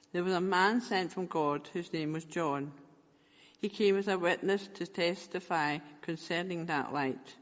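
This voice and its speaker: A man reading scripture aloud in a measured, careful way. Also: a little high, a bit bright, long reverb tail. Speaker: scripture reader.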